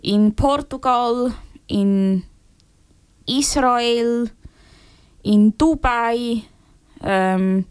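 Slow, halting woman with a smooth voice. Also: on the high side, a little mellow, no room sound. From Switzerland and Brazil. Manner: slow and searching, many fillers and restarts. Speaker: blind speaker sharing her experiences. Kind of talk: casual spoken account.

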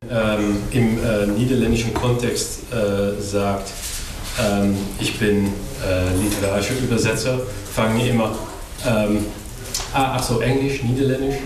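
A man who is a literary translator, speaking haltingly on a panel, with many ums and restarts. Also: fairly low pitch, level tone, noisy background. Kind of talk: panel discussion. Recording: very echoey room from far off.

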